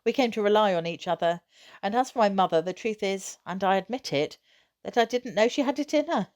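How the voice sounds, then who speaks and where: A woman reading a children's novel aloud, narrating expressively with measured storytelling emphasis. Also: medium pitch, expressive, a little mellow.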